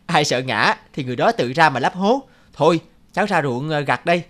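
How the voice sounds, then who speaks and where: A man voicing a stubborn, grumbling young farmer grandson in an animated folk tale. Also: on the high side, a little fast. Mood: dismissive. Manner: exasperated, dismissive.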